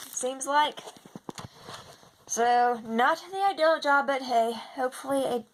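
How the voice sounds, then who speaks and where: A young woman with a slightly raspy voice, chatting in a casual video diary and rambling with lots of fillers and restarts. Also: high voice. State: slightly raspy from seasonal congestion. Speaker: vlogger.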